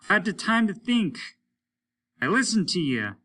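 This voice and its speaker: A man's voice: sits high, big pitch swings, normal speed, pushed and bright, pitched above the performer's own voice, full character voice. A man reading an audiobook, voicing a blunt, embittered, repentant aging male astronaut who speaks emphatically, as if confessing. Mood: ashamed, bitter. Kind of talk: dramatic audiobook character reading.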